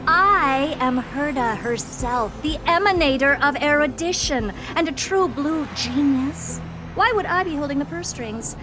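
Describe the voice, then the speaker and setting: A woman's voice: sits high, expressive, a little slow. A woman voicing a haughty genius scientist in a video game, who talks bluntly and dismissively. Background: game music.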